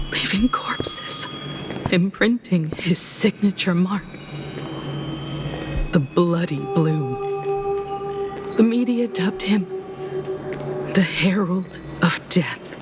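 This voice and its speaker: A grave young game heroine haunted by tragedy. Her voice sits on the low side and moves at an unhurried pace, measured and somber, with music loud behind her. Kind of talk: dramatic video game voice acting.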